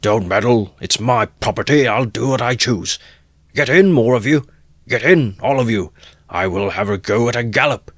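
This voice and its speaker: A brutal drunken peasant carter, bellowing orders and threats, as a male narrator puts him on for a storybook reading. The voice climbs well above its usual deep register and rings out loud and rough. It sounds dry and close. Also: moderate pace, dry recording, close to an everyday voice. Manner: loud bullying shouts.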